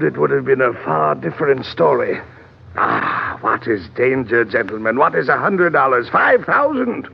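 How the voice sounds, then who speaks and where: An actor playing a fat, excitable, obsessive collector with florid, pompous, stammering diction in an old-time radio drama. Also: male voice, medium pitch, gravelly, slightly rough, heavily acted. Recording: muffled, noisy vintage radio recording.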